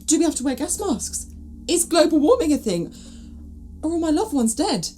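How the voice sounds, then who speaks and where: A woman acts out a young companion on her first trips through time. Her voice is on the high side and soft-edged, swinging widely in pitch as she questions everything with wry scepticism. It plays as audio drama, with faint music underneath. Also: sing-song, normal speed. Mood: anxious, questioning. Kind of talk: fan-made audio drama acting.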